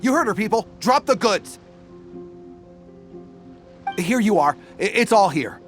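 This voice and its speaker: A man's voice: sits high, animated, a little slow, a bit bright. A man voicing a blustering, fawning bandit boss in a video game, oily and theatrical, with music behind him. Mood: fawning, nervous. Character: blustering, fawning male treasure-hoarder gang boss.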